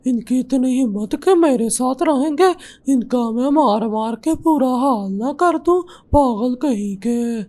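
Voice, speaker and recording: A woman's voice: high voice, animated, a little slow, hardly any pauses, mellow. A woman voicing a rude, threatening crow who bullies others in a cartoon. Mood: scornful, threatening. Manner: scornful, threatening. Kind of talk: Hindi cartoon voice acting.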